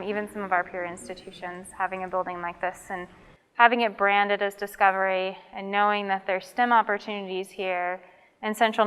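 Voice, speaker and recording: A woman's voice: mid-range voice, fairly bright tone, clear, resonant tone. A woman, an academic, giving a spoken interview answer. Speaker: associate professor interviewee. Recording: long reverb of a hall.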